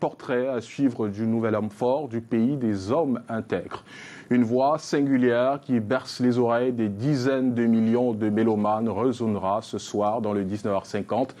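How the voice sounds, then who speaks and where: A man presenting an evening television news bulletin, reading headlines in a measured newsreader delivery. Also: medium pitch, steady tone, dark tone. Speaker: news anchor.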